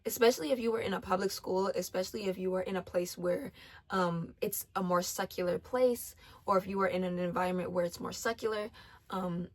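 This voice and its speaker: Young woman around twenty, lowish voice, earnest faith talk, lots of ums and restarts. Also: brisk. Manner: earnest, repeats herself, many ums and restarts. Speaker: faith vlogger. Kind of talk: casual advice talk.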